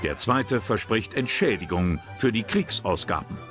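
A man narrates a documentary in a fairly low voice. His delivery is scripted storytelling, with clear music running underneath. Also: lively intonation, measured pace. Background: background music.

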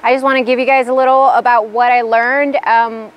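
Bright young woman, eager tone. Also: fairly high pitch, level tone, brisk, muffled recording. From Pennsylvania. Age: young adult. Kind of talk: testimonial interview. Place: outdoors on a wooded lawn. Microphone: lapel mic.

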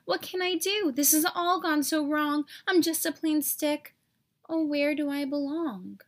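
A high-pitched, sad little stick from a children's storybook, acted out by a woman. He sounds plaintive and self-pitying, full of doubt about himself. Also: a little slow. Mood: forlorn. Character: sad, self-doubting male popsicle stick, small and whiny.

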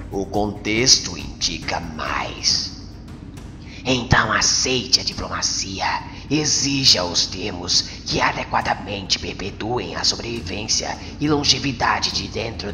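A man voicing a solemn, menacing alien commander in a motion comic dub, with formal, grandiose, deliberate delivery. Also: low voice, voice pushed down. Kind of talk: comic book dub acting. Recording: long cavernous reverb over music.

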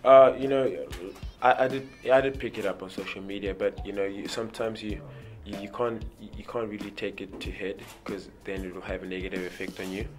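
Deep-voiced young South African man. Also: fairly quick, faint music behind. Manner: relaxed, repeats words, many "you know" fillers. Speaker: rugby player. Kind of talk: interview answers. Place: indoor press backdrop.

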